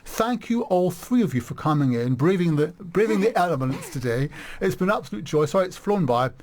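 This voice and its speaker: A man's voice: sits high, brisk. A man hosting a live radio interview in a studio, chatty and enthusiastic, often restarting his sentences. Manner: chatty, animated, warm enthusiasm with frequent restarts. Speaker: radio host.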